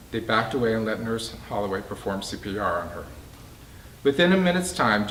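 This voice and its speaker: A man reading his own prose fiction aloud to a live event, halting and emphatic, stumbling over words now and then. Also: mid-range voice. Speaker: author reading from own book. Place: bookstore reading event, indoors. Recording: roomy, distant mic, faint background noise.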